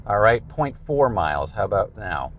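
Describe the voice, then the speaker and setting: American male, 50s, lowish voice, conversational explainer for a gear review. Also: sing-song, moderate pace, heavy background noise.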